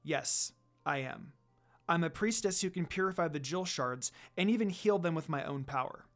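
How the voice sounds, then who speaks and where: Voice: mid-range voice, normal speed, a bit bright, soft background music, lightly acted. A narrator voicing a caring, earnest young human priestess who asks questions openly. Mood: polite, earnest.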